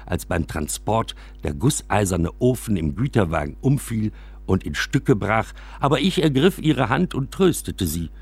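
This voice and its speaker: Expressive low-voiced wry storyteller. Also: male voice, a little slow, creaky, slightly rough, no room sound, heavily acted. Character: man recounting his railway-side childhood with wry exaggeration. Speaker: narrator. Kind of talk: scripted first-person radio play narration, storytelling.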